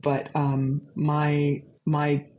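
A woman from Arkansas with a low speaking voice, telling stories as an interview guest, chatty and laughing often. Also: monotone, very slow, muffled recording, slight background noise. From Arkansas, USA. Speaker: guest composer. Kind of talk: casual conversational storytelling.

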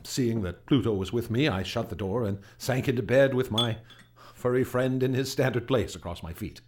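An actor playing a troubled gentleman recounting frightening events in a radio drama, earnest and hesitant, stammering when unsettled. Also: medium pitch, moderate pace, lightly acted. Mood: uneasy, recounting. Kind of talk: radio drama acting, halting testimony.

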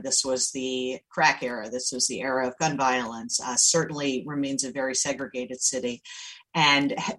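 A woman's voice: deep voice, fairly bright tone, breathy edge, low-quality recording. A woman in her forties from Baltimore with a slight Maryland accent, a nonprofit leader answering interview questions in an articulate, composed way. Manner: articulate, composed, measured storytelling.

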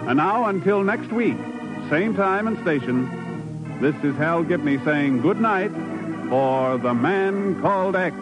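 A man's voice: on the high side, lively intonation, measured pace. A man reading formal announcements as a 1950s radio announcer, on a dull old broadcast recording with music.

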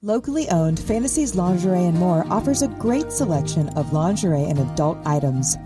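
Low-pitched woman announcer over music. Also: expressive, brisk. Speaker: advertisement announcer. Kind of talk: scripted local radio ad read. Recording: distant-sounding voice over loud music.

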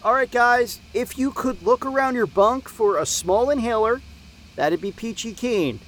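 A man's voice: extremely high pitch, measured pace, fairly bright tone, a little hiss or hum. A man playing an easygoing young camp counselor in an audio drama, casual, upbeat and folksy. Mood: chipper.

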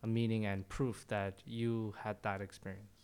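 Guy chatting, stops and restarts a lot, smooth clear voice. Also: fairly low pitch, level tone, a little mellow. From Korea. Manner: halting, restarts phrases. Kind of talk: casual chat.

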